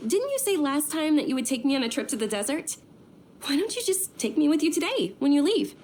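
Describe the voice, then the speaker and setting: A woman playing a caring, insistent, well-bred young lady in a video game, earnest and expressive in her delivery. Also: high-pitched, brisk, a little hiss or hum. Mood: pleading, miffed.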